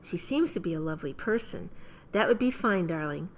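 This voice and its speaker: An actress playing a gentle, patient mother of young children in a radio play. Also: fairly low pitch, animated, a little fast, a little hiss or hum. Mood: affectionate.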